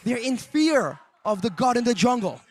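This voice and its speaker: Very high-voiced male esports analyst. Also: very animated, normal speed, a little hiss or hum. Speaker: esports color commentator.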